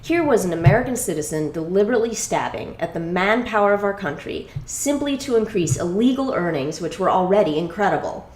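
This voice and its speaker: A woman reading a first-person exposé as dramatic scripted narration, in a deliberate, emphatic and rhetorical manner. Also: medium pitch, lively intonation, darkish tone. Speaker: reader of a memoir exposé. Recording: echoey room sound.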